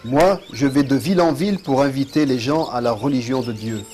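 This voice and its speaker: A man's voice: mid-range voice, darkish tone, close to an everyday voice. Man playing a serene cartoon prophet, music behind. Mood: solemn, instructive. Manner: measured, solemn, instructive. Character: calm, pious male prophet, patient and wise. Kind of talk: dubbed religious cartoon acting. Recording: music under the voice.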